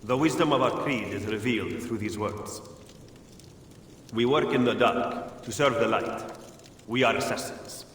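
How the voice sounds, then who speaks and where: A formal, measured Italian conspirator played by a man in a dramatic video game. The voice sits at a medium pitch with an Italian accent, very echoey with a long reverberant tail. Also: fairly bright tone, lightly acted. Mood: ceremonial. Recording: echoey hall, faint ambient noise.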